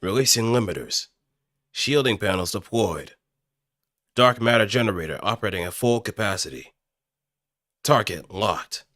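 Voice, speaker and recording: A man's voice: on the low side, lively intonation, normal speed, pauses often, a bit bright, no echo, studio quality. A man voicing a fierce, resolute anime mecha pilot, delivering melodramatic robot game battle lines in a declamatory way. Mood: battle fury. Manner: dramatic, declamatory.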